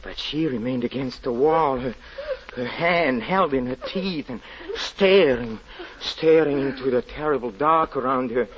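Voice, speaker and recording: An actor playing a haunted, grieving European husband confessing a tragedy, halting, stammering and pleading in theatrical 1940s radio drama style. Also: male voice, mid-range voice, lively intonation, a bit bright. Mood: frantic, pleading. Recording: muffled, noisy old radio recording.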